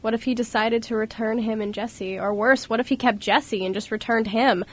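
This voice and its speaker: High-voiced woman narrating a story. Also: steady tone, normal speed. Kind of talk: scripted storybook narration for amateur radio drama.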